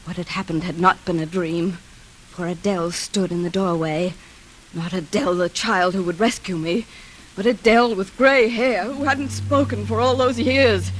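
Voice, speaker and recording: An actress playing a terrified woman in an old radio thriller, with breathless, halting, melodramatic delivery. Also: a little high, natural voice, poor audio. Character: terrified woman recounting her mad sister's deadly menace. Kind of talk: 1940s radio suspense acting, dramatic first-person narration. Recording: old radio drama, music behind.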